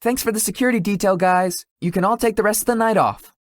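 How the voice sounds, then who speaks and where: A woman's voice: medium pitch, quick pace, dry recording, clean studio sound, natural voice. A woman voicing a shy, polite young male tech executive in a visual novel, slightly formal and hesitant in manner. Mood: relaxed, friendly. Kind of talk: visual novel character dialogue.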